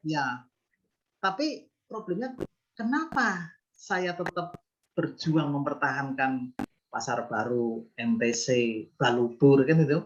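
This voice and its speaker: A man's voice: fairly high pitch, slow, long pauses. Earnest man in his fifties, lo-fi. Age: 50s. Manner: earnest, explains with rhetorical questions. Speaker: business owner guest. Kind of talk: reflective interview talk.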